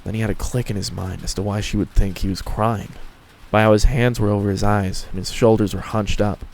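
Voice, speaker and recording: A man's voice: fairly low pitch, fairly even intonation, a little fast, vocal fry, a little mellow, a little hiss or hum. A man reading scripted fan fiction narration as the storyteller.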